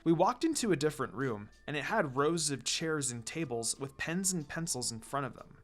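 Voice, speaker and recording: A man's voice: a little high, very animated, moderate pace. A man reading a fan-written story aloud as a dramatic first-person narrator. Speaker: fanfiction reader. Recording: background music under the voice. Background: music.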